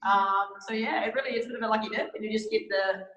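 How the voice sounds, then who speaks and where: Raspy-voiced woman, chatty. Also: mid-range voice, quick pace, a bit bright, dull audio. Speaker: football player. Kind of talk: casual group interview. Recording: echoey room.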